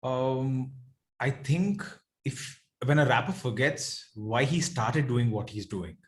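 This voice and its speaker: A man's voice: medium pitch, slow. A man with a South Indian accent speaking as an interview guest, reflective and conversational, often checking in with "right" or "you know". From Bengaluru, India.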